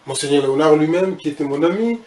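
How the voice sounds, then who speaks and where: A man's voice: fairly high pitch, animated, fast talker, crowd noise. A man from Congo, a guest recounting his past in the church, speaking hesitantly and restarting phrases. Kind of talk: personal testimony in conversation.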